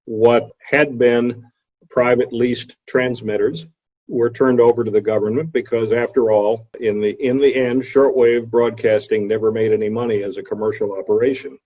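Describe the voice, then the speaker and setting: A man's voice: lowish voice, steady tone, normal speed, mellow, slightly rough. A man giving an explanatory history lecture in a somewhat hesitant manner, recorded over a video call. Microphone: video call microphone. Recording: dull video call audio.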